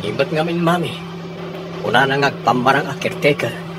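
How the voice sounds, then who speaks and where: An actor playing a concerned, determined grown son in a radio drama, with an Ilocano accent. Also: male voice, medium pitch, brisk, lightly acted. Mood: worried, determined. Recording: clear vehicle noise behind voice.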